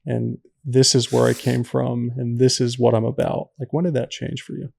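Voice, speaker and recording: A male podcast host asks questions in a conversational way. His voice is dark and creaky, with very little melody to it, recorded close and dry. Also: mid-range voice, flat delivery, moderate pace, no room sound. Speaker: podcast host interviewing a guest.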